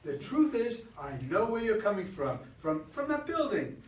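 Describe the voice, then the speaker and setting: A man's voice: sits high, sing-song, normal speed. A man in a staged play reading, acting a pushy, teasing, talkative flirt who needles a stranger. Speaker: amateur play reader. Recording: distant roomy recording with faint noise.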